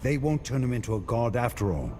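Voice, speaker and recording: A man's voice: medium pitch, expressive, fast talker, mellow, close to an everyday voice. A man playing a grim, resolute warrior hero in a video game, terse and stern in his delivery. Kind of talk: video game cutscene voice acting. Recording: long reverb with music behind. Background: game music.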